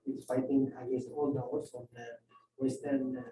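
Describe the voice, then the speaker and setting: Dark-voiced man heard from across a hall. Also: medium pitch, flat delivery. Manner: halting, many fillers. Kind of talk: discussion answer. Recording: roomy hall, far from the mic.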